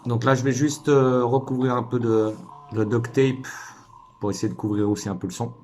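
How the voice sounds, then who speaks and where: A man's voice: medium pitch, flat delivery, normal speed, a little mellow, faint music behind. A man giving a casual hands-on tutorial, informal and hesitant, thinking aloud as he works. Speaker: DIY tutorial maker.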